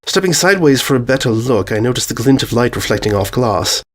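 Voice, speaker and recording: A man's voice: mid-range voice, expressive, a little fast. A man narrating a scripted short story as its narrator.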